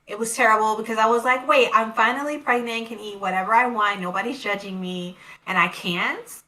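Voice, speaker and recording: A woman in casual conversation, talking reflectively in quick bursts. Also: fairly high pitch, sharp tone, distant mic, rough recording. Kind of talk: casual chat.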